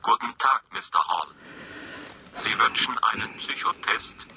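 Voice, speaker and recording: An actor playing an impassive, formal psychiatric testing machine in a science fiction radio play, speaking in clipped clinical phrases. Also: male voice, on the low side, lively intonation, measured pace, vocal fry, sharp tone, a little hiss or hum, full character voice, poor audio.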